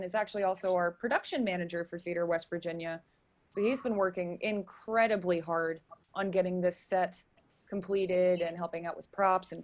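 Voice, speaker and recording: A woman speaking as a guest in a radio interview, chatty and enthusiastic as she explains things. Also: fairly low pitch. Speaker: interview guest, theater stage manager and director.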